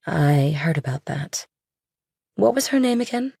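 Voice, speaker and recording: A woman's voice: low voice, big pitch swings, measured pace, vocal fry, dark tone, breathy edge, dry recording, studio quality, exaggerated voice. A woman playing a young, worldly, guarded girlfriend in an audiobook, speaking casually and directly. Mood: curious. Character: young worldly girlfriend of a rich older man, guarded, pointed. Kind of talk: audiobook character dialogue.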